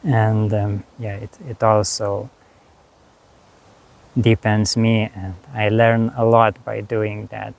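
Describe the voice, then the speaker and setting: Slow-talking Lithuanian-accented man. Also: on the low side, completely flat delivery, gravelly, a little mellow. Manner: unhurried, halting, frequent fillers and restarts. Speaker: spiritual course teacher. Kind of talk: reflective monologue.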